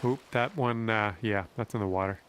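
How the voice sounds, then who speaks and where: A man's voice: fairly low pitch, normal speed, fairly bright tone. A man giving informal, colloquial golf commentary in the style of a sports video game. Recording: clear background noise.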